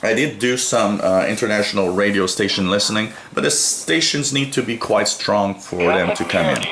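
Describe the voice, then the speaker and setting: A man with a lowish voice talks through a casual, unscripted review. He explains things plainly and repeats his points. The room adds clear echo, with a little noise behind him. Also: moderate pace. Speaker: product reviewer. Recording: echoey room with faint background noise.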